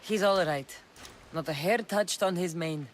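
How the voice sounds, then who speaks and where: A woman's voice: lowish voice, measured pace, a little hiss or hum, natural voice. An actress playing a bold, self-assured female mercenary of ancient Greece in a video game, direct and confident. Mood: direct, businesslike. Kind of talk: dramatic video game dialogue.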